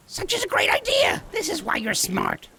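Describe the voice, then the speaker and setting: A woman's voice: high-pitched, huge pitch swings, unhurried, vocal fry, bright, forceful tone, full character voice. A woman voicing a blunt, greedy dwarf adventurer in a tabletop game, speaking in the third person. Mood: scheming, blunt. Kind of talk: tabletop role-play.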